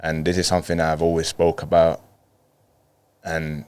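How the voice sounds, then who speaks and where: Colombian guy, native spanish speaker, 30s, really low flat delivery, casual storytelling on a podcast. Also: moderate pace, smooth, dry recording. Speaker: podcast guest, footballer.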